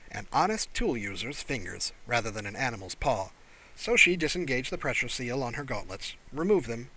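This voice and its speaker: Expressive man narrating, bright voice. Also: medium pitch, low-quality recording. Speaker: audiobook narrator. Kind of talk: expressive scripted narration of science fiction.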